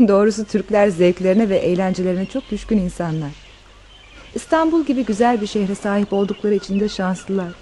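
An actress playing a wealthy, tender countess in love, in a radio drama. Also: female voice, mid-range voice, dark tone, a little hiss or hum, lightly acted. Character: wealthy countess fleeing revolution, tender and devoted lover.

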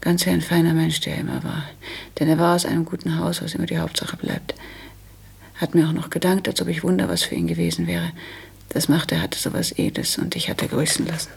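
An actress playing a dutiful, matter-of-fact widowed daughter in a German radio play, speaking in an earnest, measured way with a husky, creaky voice. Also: female voice, fairly low pitch, exaggerated voice. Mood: earnest, composed. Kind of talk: German radio play acting, letter monologue.

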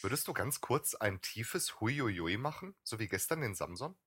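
A man's voice: lowish voice, big pitch swings, moderate pace, a bit bright, breathy edge, no echo, clean studio sound. A man co-hosting a casual streamed podcast, teasing and needling his co-host.

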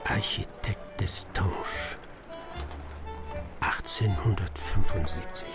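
An actor playing a self-assured, theatrical master detective in a radio play, declaiming pompously and excitably with little care for others. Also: male voice, low-pitched, takes it slowly, music in the background. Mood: triumphant. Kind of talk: German radio play acting.

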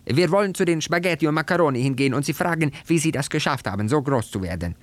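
A tiny, cheeky little noodle child, played by a man reading a storybook, pitched way up high. The delivery is eager and childlike, with lively swoops in every line. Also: fast talker, lightly acted. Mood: eager, boastful.

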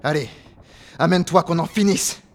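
A man's voice: on the high side, normal speed, a little hiss or hum, voice pushed up, natural voice. A man acting a curt, commanding rebel warrior captain barking urgent short orders in an audio drama. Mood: defiant.

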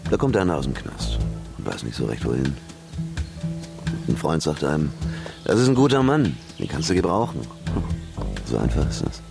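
An actor playing a slick, self-assured red-light boss in a German radio drama, jovial and expansive with menace underneath. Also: male voice, extremely low pitch, big pitch swings, normal speed, gravelly, long pauses, over music, full character voice. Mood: evasive, smug.

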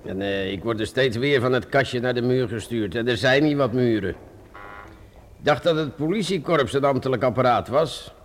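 An actor playing a weary, grumbling, sarcastic police inspector in a radio play, with a hesitant, muttering manner. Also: male voice, medium pitch, normal speed, a little hiss or hum, natural voice. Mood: sarcastic, exasperated. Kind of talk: Dutch radio drama acting.

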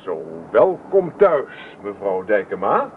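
An actor playing an eager young Dutch husband in a radio play, stubborn, dreamy and tender. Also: male voice, lowish voice, very animated, slow pace, heavy background noise. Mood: eager, proud. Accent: Netherlands Dutch. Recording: roomy, tinny phone-like radio recording.

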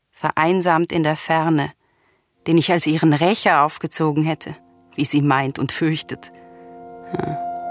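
An actress playing a bitter, unyielding young princess in a classical radio tragedy, declaiming gravely and deliberately. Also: female voice, sits low.

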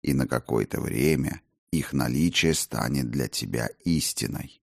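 Male narrator as audiobook monk mentor: very low, dry sound, unhurried, weighty, quietly pleased with himself. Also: creaky, no echo, heavily acted. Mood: calm, smug. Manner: slow, weighty, aphoristic. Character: calm, teasing male monk teacher, self-satisfied.

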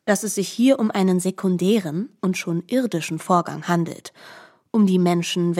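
A woman's voice: on the low side, mellow. A woman giving a composed, articulate reading of literary narration. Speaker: narrator of a literary radio adaptation.

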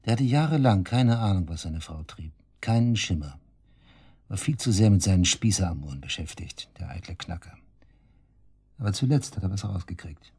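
Casting: cocky shady suspect, dark low husky voice, taunting and coarse, radio play. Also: male voice, animated, normal speed, full character voice. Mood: mocking. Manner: taunting, cynical, coarse colloquial talk. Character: cocky, sneering male murder suspect, shady club worker. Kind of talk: German radio drama acting, interrogation dialogue.

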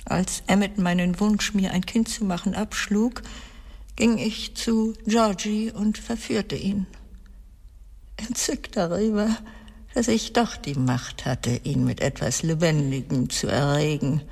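Radio drama casting: embittered wealthy wife in middle age, low-energy slow confession, husky and breathy, reverberant space. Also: female voice, fairly even intonation, darkish tone, heavily acted. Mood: bitter, agitated. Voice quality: husky, creaky. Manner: slow, halting, weighty delivery with breathy drops. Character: bitter, broken middle-aged wealthy wife confessing dark secrets. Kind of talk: dramatic radio play monologue. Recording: long reverberant hall sound.

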